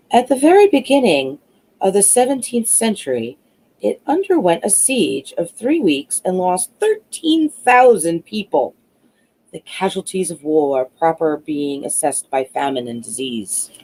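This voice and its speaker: A woman reading a classic novel aloud as an audiobook narrator, expressive but a little halting in places. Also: lowish voice, vocal fry, poor audio. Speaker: audiobook reader.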